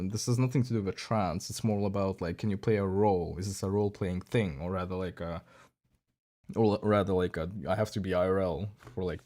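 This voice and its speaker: A man streaming, giving casual commentary in a halting, rambling way with many restarts. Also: on the low side, darkish tone.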